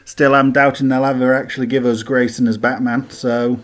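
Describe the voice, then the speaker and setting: A man's voice: medium pitch, little pitch movement, moderate pace. A man giving informal scripted narration as the host of a countdown list video. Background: background music.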